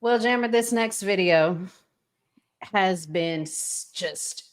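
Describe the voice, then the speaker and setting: A woman's voice: medium pitch, slow. A woman hosting a casual podcast, setting up topics and putting questions to her co-host. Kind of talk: casual talk, introducing topics and asking questions.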